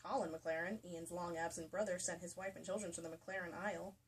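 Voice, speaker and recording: A woman's voice: fairly low pitch, steady tone, a little fast, husky. A woman giving a comedic dramatic reading of a novel, mock-theatrical and breaking into casual asides. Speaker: co-host reading a novel aloud. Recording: noisy room, distant microphone.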